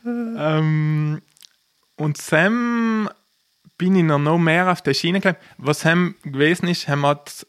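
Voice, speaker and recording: A man's voice: fairly high pitch, slow, a bit bright, clean tone. A man in his thirties with a South Tyrolean accent, telling his own story casually and enthusiastically as a podcast guest, jumping between half-finished sentences. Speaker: podcast guest, professional poker player. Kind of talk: casual chat, personal storytelling.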